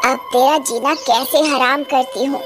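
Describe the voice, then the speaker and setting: A woman's voice: high-pitched, steady tone, a little slow, music in the background. A woman voicing a vengeful old witch, a wronged mother-in-law, gloating and menacing, in a horror storybook tale. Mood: bitter, vengeful.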